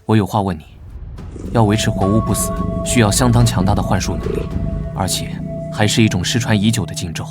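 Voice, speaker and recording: A man playing a guarded, serious young knight vice-captain, terse and measured, in an animated comic dub. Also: fairly low pitch, over loud music, natural voice. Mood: stern questioning.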